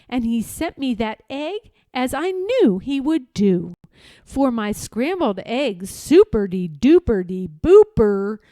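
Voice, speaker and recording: A woman reading a rhyming children's storybook aloud in an expressive, playful way, with deliberate and emphatic phrasing. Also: high-pitched, no echo.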